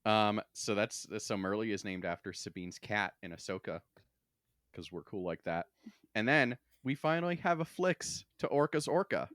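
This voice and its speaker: Man, lowish pitch, bright tone, wry, casual chat, podcast host, dry recording. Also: animated, normal speed, dry recording.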